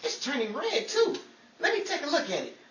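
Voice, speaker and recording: A woman's voice: sits high, huge pitch swings, fairly quick, fairly bright tone, heavily acted. A woman playing Henry, an eager, chummy, inquisitive male would-be actor, in 1940s radio comedy style. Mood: concerned. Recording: noisy echoey room, distant mic, clicks.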